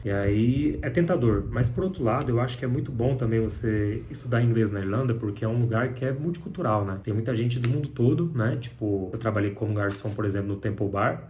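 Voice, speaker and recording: A young Brazilian man chats to camera in a loose, candid vlog manner, often tagging his sentences with little fillers. His voice sits fairly low for a man, and a faint hum of room ambience runs underneath. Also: a little mellow. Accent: Brazilian Portuguese. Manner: conversational, frequent filler tags, candid. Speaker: vlogger. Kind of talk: casual talk to camera.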